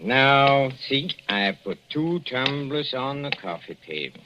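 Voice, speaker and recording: An actor playing a sly older husband who coos softly and chuckles while poisoning his wife, in 1940s radio drama acting on a dull old broadcast recording. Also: medium pitch, expressive, slow, background noise. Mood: cooing. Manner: soft and wheedling, chuckling, quietly menacing.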